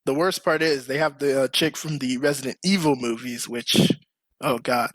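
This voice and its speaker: A man's voice: medium pitch, steady tone, moderate pace, no echo. A man hosting a casual, slangy group chat as a podcast host. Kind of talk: casual group chat about games and movies.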